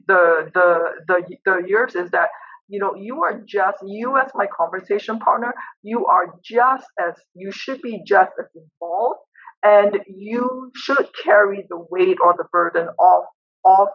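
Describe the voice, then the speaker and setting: Firm woman who stutters, tinny call audio. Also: medium pitch. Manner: stutters with repeated words, firm and direct. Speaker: professor and panelist. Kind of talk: panel discussion over video call. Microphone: video call. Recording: tinny phone-like line.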